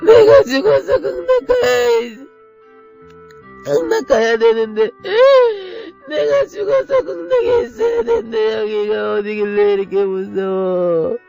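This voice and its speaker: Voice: very high-pitched, sing-song, slow, gravelly, dark tone. A voice sobbing and crying out in terror and pain, in an anguished wailing lament, with music playing behind.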